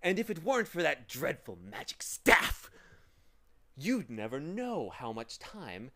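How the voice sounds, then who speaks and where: A man acts out a villainous demon of petty tasks from a storybook. He is theatrical, sour and falsely coaxing. His slow, weighty delivery swings widely in pitch. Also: sing-song, a bit bright, full character voice. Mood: sly coaxing. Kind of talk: storybook character voice.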